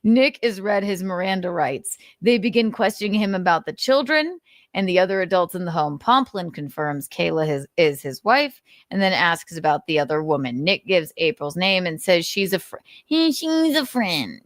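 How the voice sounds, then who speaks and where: An animated woman reads notes aloud as a host, breaking off for sardonic, amused commentary. Her pitch moves freely and the sound is close and dry. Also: normal speed, no room sound. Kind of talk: informal commentary mixed with dramatic reading.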